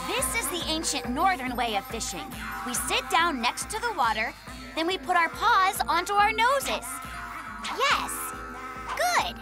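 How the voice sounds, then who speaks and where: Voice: very high voice, very animated, unhurried, loud music behind the voice, exaggerated voice. An actor voicing an earnest, gullible, slightly pompous little polar bear cub in a children's cartoon. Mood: earnest, solemn.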